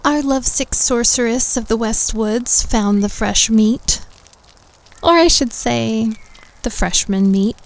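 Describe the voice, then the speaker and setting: Bubbly woman as a prep school teen, high unhurried voice, teasing and protective, audio roleplay. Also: a little hiss or hum. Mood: playful, chatty.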